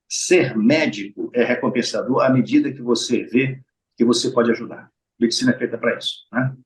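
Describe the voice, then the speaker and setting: A man's voice: mid-range voice, brisk, rough recording. A middle-aged man with a Brazilian Portuguese accent, a heart surgeon explaining things patiently in an interview and often checking in with his listeners. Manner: explains patiently, often adds tag questions and addresses listeners directly. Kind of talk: explanatory conversational interview talk.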